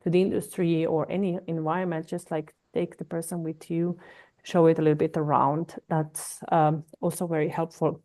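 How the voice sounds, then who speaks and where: A woman's voice: sits low, little pitch movement, normal speed, warm tone. A woman hosting a podcast interview, speaking in a casual, hesitant way full of fillers and restarts. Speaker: podcast host.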